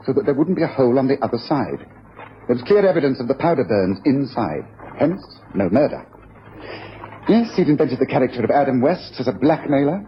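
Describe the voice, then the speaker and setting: An actor playing a brisk, self-assured detective with a decisive, explanatory manner in a period radio drama. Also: male voice, medium pitch, natural voice. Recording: dull old radio recording, faint hiss.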